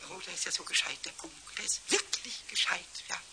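A man playing a cheeky, childlike little kobold in a children's radio play, with a squawky voice and excitable, repetitive stammering chatter. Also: brisk, vocal fry. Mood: gleeful, scheming. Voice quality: high, squawky cartoonish voice. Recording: hissy, clicky old radio play recording.

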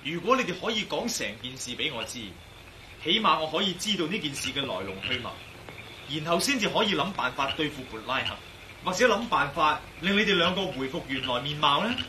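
A man's voice: on the high side, very animated, brisk, noisy background. An actor in a radio drama playing a determined, inquisitive male adventurer, speaking earnestly and with assurance in Hong Kong Cantonese. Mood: urgent, earnest.

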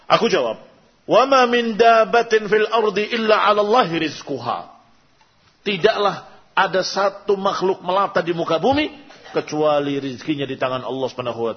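A somewhat high-pitched man with an Indonesian accent delivers an Islamic sermon. He is didactic, setting out scripture and its explanation in short, measured phrases. The recording is a little muffled and lo-fi. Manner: didactic, reciting quotations then translating and repeating in short measured phrases. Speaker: Islamic preacher. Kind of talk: sermon explaining an Arabic religious text line by line. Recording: dull, lo-fi sound.

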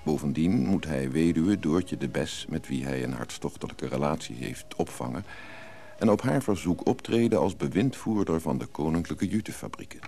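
A man's voice: extremely low pitch, lively intonation, moderate pace, creaky, warm tone. A man reading a scripted recap as narrator of a Dutch radio drama serial.

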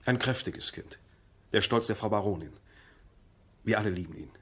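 A cautious male servant, low in pitch and respectful. He speaks in measured, deferential phrases, in the style of a seventies radio play. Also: expressive, breathy edge. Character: deferential, cautious manservant to a baron. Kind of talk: 1970s German radio play acting.

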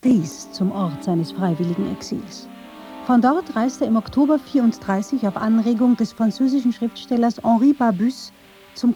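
Dark, husky female documentary narrator. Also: medium pitch. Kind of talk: scripted radio feature narration.

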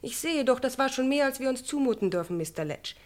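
A woman playing a brisk, motherly hospital nurse in a radio crime play, strict yet soothing and no-nonsense. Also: high voice, animated. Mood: firm, soothing.

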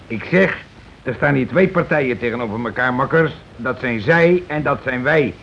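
A man's voice: mid-range voice, lively intonation, moderate pace, a bit bright. An actor in a radio play as a tipsy, blustering working-class husband and union man, blunt and colloquial, repeating what he hears. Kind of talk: naturalistic radio play dialogue. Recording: muffled, noisy old radio broadcast recording.

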